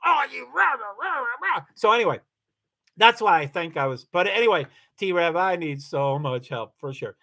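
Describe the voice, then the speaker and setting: A man's voice: high-pitched, sing-song, moderate pace, bright, forceful tone. A man from Kentucky hosting a casual livestream, chatting loosely with viewers, rambling and often stopping to correct himself. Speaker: livestream host. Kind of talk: casual livestream chat, reading viewer comments.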